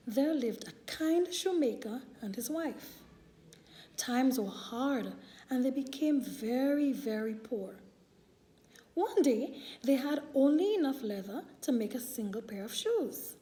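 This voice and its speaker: Female storyteller, high and soft-toned, taking her time over a picture book for little kids. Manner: deliberate, expressive delivery for young children. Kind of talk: children's read-aloud storytelling.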